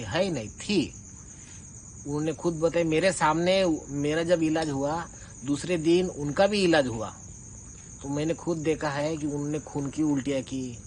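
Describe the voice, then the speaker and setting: Higher-voiced man, accusatory, over background noise. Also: a little mellow, rough recording. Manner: accusatory, confrontational, colloquial. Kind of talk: informal spoken rebuttal monologue. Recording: clear background noise with insect-like chirping.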